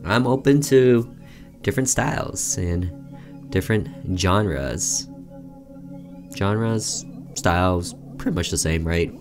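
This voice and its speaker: A man's voice: deep voice, expressive, takes it slowly, music in the background. A man hosting a gaming video, reading story text aloud like a storyteller and adding casual reactions.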